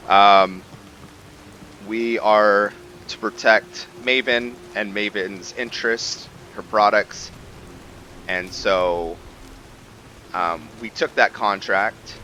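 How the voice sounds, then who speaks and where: A man hosting a gameplay video with casual roleplay commentary, thinking aloud in a halting way. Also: lowish voice, fairly even intonation, sharp tone, a little hiss or hum, low-quality recording.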